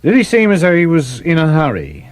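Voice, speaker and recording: A man's voice: a little high, huge pitch swings, brisk, no echo. An actor playing a brusque, officious policeman in a British radio drama, curt and authoritative as he questions people. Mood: stern.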